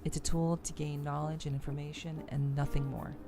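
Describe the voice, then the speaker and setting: A woman with a very low voice and a creaky edge talks casually to her stream. She is reflective and deliberate, repeating phrases for emphasis, with music playing behind her. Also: little pitch movement. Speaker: streamer hosting a game playthrough. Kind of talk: reflective casual commentary to viewers.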